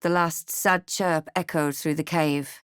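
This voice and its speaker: Casting: self-possessed female fantasy game spellcaster, lowish voice, dramatic and measured, very dry close recording. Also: fairly even intonation, clean tone, dry recording. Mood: grave, reflective. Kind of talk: video game voice lines, narration and incantations.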